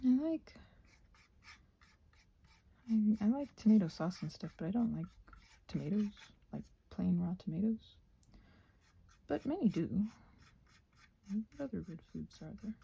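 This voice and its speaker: A woman with a soft, breathy voice, often close to a whisper, musing aloud in a playful, whimsical ASMR ramble as she crafts. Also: medium pitch, animated, unhurried, pauses often.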